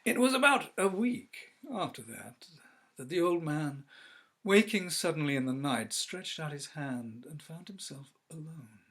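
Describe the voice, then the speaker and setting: A man reading a classic story aloud as an actor, measured and theatrical, letting phrases fall to a hush. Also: on the high side, animated. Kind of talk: dramatic literary narration.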